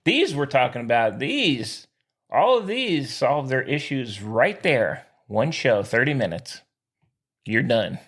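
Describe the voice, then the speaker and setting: A man hosting a podcast, bantering casually in an excitable, animated way. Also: medium pitch, slow, slightly rough. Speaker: podcast host.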